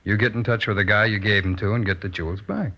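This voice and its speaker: An actor playing a pushy, bitter small-time thief in an old-time radio crime drama, insistent in manner. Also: male voice, on the low side, fairly even intonation. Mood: demanding. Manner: insistent, hurried. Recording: dull vintage radio recording.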